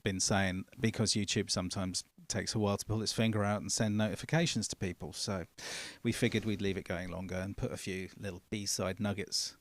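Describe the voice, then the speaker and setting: A man's voice: on the low side, brisk, few pauses, no echo. Joking man co-hosting a stream. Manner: joking, animated, rambling. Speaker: co-host. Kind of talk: casual live-stream chat. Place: indoors, live stream studio.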